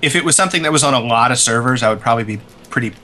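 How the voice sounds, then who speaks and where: Gamer podcast dude, bright forward voice, normal pitch, blunt and opinionated. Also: male voice, very fast, slight background noise. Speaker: podcast co-host. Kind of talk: casual gaming podcast chat.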